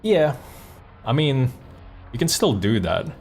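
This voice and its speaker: A man's voice: medium pitch, very animated, slow, a little mellow, faint music behind. A man who streams and voice acts, chatting informally and thinking aloud in hesitant bursts. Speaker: streamer and comic dub voice actor. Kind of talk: casual livestream chat.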